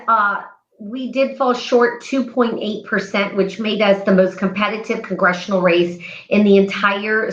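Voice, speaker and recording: A confident woman from South Texas who speaks steadily and clearly about her campaign as a guest. Her voice comes through a dull, muffled remote line with a roomy echo. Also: medium pitch, fairly bright tone. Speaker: congressional candidate guest. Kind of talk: interview, campaign talk.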